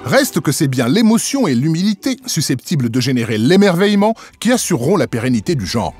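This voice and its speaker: Lively, higher-pitched man narrating. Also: a little fast. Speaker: magazine show narrator. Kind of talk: scripted narration. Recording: voice-over with faint music. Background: faint background music.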